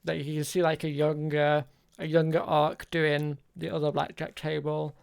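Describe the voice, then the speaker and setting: A man's voice: on the high side, completely flat delivery, moderate pace, gravelly, clear, resonant tone. A man improvising narration as game master of a tabletop game, hesitant and thinking aloud.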